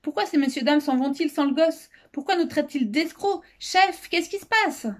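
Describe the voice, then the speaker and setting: A woman's voice: high voice, normal speed, pitched above the performer's own voice, close to an everyday voice. A woman voicing a flustered male guard from a storybook, trembling and distraught. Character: flustered male uniformed guard, anxious underling. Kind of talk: storybook character voice.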